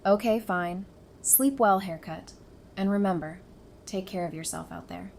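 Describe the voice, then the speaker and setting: A woman's voice: medium pitch, unhurried, creaky, very noisy. A woman playing a caring, stubborn and playful survivor in an audio drama. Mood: playful, tired. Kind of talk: fan audio drama acting.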